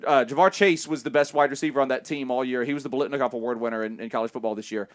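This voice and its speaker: Fast-talking enthusiastic man. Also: mid-range voice, fairly even intonation. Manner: enthusiastic, quick agreeable interjections. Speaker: podcast guest. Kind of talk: casual fantasy football chat.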